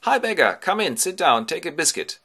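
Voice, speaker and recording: A homely swineherd put on by a man for a comic tale. He is hearty and blunt, with a raised, bright voice full of friendly welcome. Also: a little high, very animated, brisk, breathy edge, pitched above the performer's own voice, full character voice. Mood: welcoming.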